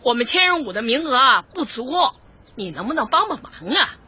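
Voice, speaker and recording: An actress playing a cheerful, devoted older community dance organizer in a radio comedy, exclamatory and folksy. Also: female voice, a little high, very animated, brisk, pushed and bright, slightly rough, full character voice. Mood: hearty, teasing. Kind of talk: light comedy radio drama acting. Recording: dull lo-fi audio, faint music.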